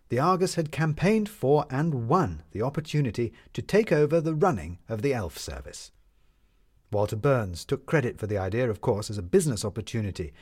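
A man tells a written story as its narrator. His voice is medium in pitch. The phrasing is lyrical and measured, in a storyteller's manner. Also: darkish tone, slightly rough.

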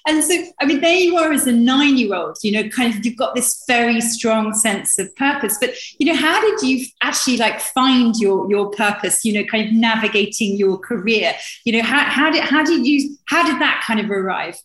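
Female interviewer, higher-pitched, gushing and conversational. Roomy, reverberant sound. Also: moderate pace, few pauses, a bit bright. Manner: gushing, effusive. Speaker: interview host.

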